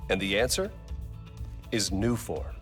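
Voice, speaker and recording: A man playing a confident corporate executive in a video game, delivering a polished, deliberate promotional speech. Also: fairly low pitch, expressive, soft background music. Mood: confident pitch. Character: smooth, confident male corporate executive selling new energy technology.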